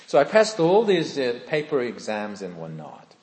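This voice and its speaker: Pastor preaching in an echoey hall. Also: male voice, mid-range voice, wildly swooping pitch, moderate pace, a little mellow. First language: Korean. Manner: earnest, conversational, asks the congregation questions. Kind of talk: sermon with personal testimony.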